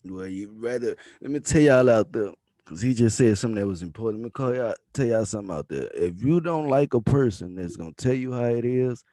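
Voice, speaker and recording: A man's voice: medium pitch, expressive, a little fast, dark tone, dry recording. A man in his thirties hosting a podcast interview, talking in a laid-back, slangy way and giving advice like he's preaching. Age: 30s. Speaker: podcast host. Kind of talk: casual interview chat.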